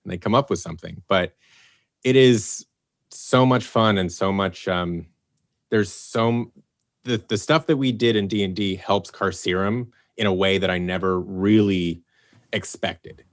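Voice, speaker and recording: Guy on a video call, lowish voice, animated storyteller doing little quote voices. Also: unhurried. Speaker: podcast guest, head writer. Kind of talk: casual chat on a video call.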